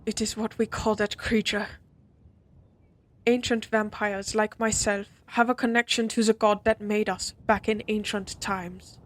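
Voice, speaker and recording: An ancient vampire noblewoman, acted by a woman in a fantasy audio drama, with a mid-pitched voice. She is proud and regal, and speaks with formal, stately gravity. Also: level tone, natural voice. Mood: solemn. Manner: formal, stately, measured wording.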